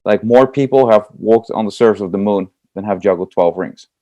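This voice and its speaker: A man's voice: on the low side, fairly quick, dark tone, no room sound, lo-fi sound. A young Dutch man with a Dutch accent, a juggler answering interview questions in an eager, chatty way with many fillers. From Netherlands. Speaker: guest, comedy juggler.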